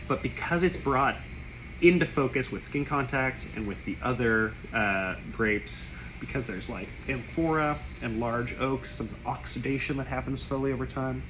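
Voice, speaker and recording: A man losing his voice, who rambles warmly through a casual wine tasting and keeps clearing his throat. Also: mid-range voice, noisy background. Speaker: wine shop owner tasting wines.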